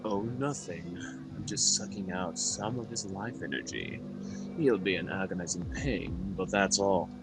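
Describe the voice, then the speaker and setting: A performer voicing a smug, predatory male demon lord in a game fan dub, taunting with drawn-out menace. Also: low voice, voice pushed down, poor audio. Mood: mocking contempt. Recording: music behind.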